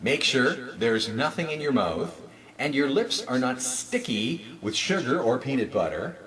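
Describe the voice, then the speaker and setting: A man's voice: medium pitch, very animated, measured pace, a bit bright, low-quality recording. A man presenting a scripted instructional guide in an emphatic, persuasive and carefully enunciated way. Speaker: presenter of a retail announcing guide.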